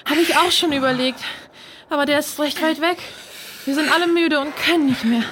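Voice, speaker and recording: Woman as high-voiced, caring big-sister girl Fenja. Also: a little fast, noisy background, lightly acted. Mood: resolute. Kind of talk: children's audio drama acting.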